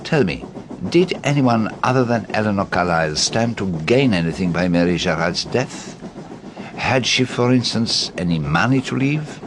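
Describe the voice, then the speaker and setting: Shrewd french-accented detective, polite n precise, very lively pitch, radio play with noisy background. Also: male voice. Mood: thoughtful. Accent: French-speaking European. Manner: formal, deliberate, inquisitive phrasing. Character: shrewd, courteous foreign male private detective, probing and precise. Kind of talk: radio drama acting.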